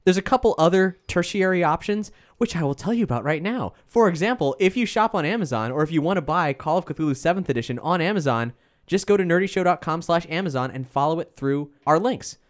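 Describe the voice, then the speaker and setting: A man's voice: on the high side, expressive, fast. A man hosting a podcast, making casual announcements to listeners in a conversational way. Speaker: host and performer.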